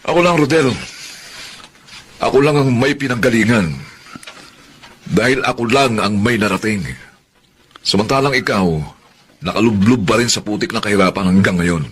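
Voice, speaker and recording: A man's voice: fairly low pitch, fairly bright tone, slightly rough. A Filipino man playing an arrogant, successful rival in love in a radio drama, haughty and taunting.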